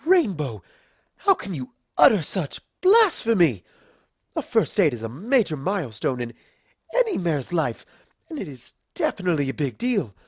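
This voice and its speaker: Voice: mid-range voice, wildly swooping pitch, moderate pace, slightly rough, no echo, clean studio sound, voice pushed up. The storyteller voicing a dramatic, refined cartoon pony lady who gushes and shrieks theatrically. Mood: squealing, scandalized.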